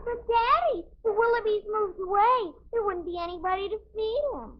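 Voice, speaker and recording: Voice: very high voice, normal speed. A performer playing an innocent, whiny young American daughter in a 1950s radio comedy. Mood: earnest, pleading. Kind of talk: 1950s radio sitcom acting. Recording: muffled 1950s radio recording.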